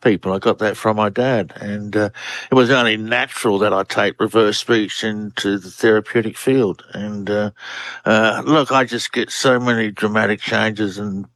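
A man's voice: fairly low pitch, fairly even intonation, normal speed, fairly bright tone, no room sound, low-quality recording. A man in his seventies with an Australian accent, giving a casual radio interview as a researcher, enthusiastic and often restarting his sentences. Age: 70s.

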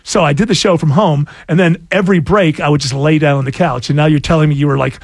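A man's voice: quick pace, creaky, breathy edge, no room sound. A man hosting a radio show, chatting casually in a rambling, self-mocking way. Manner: rambling, self-mocking, animated pitch jumps. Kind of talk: casual on-air banter.

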